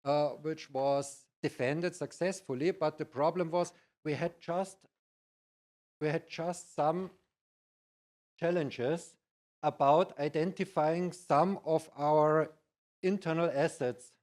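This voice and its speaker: Older-ish bavarian german guy presenting at a conference, bit high voice, halting english. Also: fairly even intonation, a little mellow. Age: middle-aged or older. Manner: halting, hesitant phrasing in non-native English. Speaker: conference speaker, IT practitioner.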